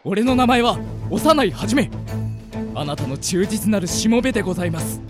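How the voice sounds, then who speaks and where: A woman's voice: mid-range voice, animated, normal speed, music in the background, close to an everyday voice. A woman playing an eccentric, overeager boy in a radio drama, melodramatic and fawning. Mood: fawning, eager. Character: eccentric, overeager teenage boy besotted with pretty girls. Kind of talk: Japanese anime-style radio drama acting.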